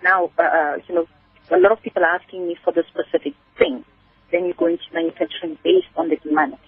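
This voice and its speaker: Husky South African woman on a tinny phone line. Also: on the low side, animated, quick pace, dry recording. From Kimberley, South Africa. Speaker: guest, spice business entrepreneur. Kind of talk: conversational radio interview answers.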